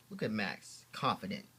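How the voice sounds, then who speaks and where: Slow, animated dad reading a storybook. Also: male voice, medium pitch, gravelly, breathy edge. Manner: theatrical, drawn-out emphasis on key words. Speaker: father reading a bedtime story to his children.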